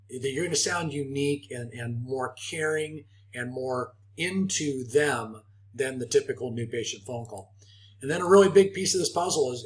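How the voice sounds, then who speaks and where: Man presenting a structured training lesson. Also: mid-range voice. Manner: explanatory, emphasizes key words, often checks in with "right?". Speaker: phone skills trainer.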